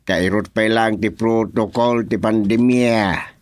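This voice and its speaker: A man's voice: fairly low pitch, fairly even intonation, slow pace. A man playing an authoritative, practical village chairman running a meeting in a radio drama comedy. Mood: businesslike.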